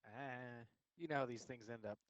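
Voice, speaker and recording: A man's voice: mid-range voice, normal speed, dry recording, dull audio. A man co-hosting a game playthrough, giving wry, conversational commentary in a casual style.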